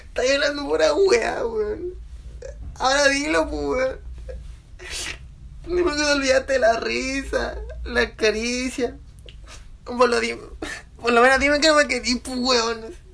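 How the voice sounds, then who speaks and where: A woman's voice: sits high, steady tone, unhurried, gravelly, long pauses, a bit bright, heavily acted. A woman with a Chilean accent playing a hurt, defiant, lovesick teenage boy in a confrontational radio drama. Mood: tearful, desperate. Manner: colloquial, confrontational.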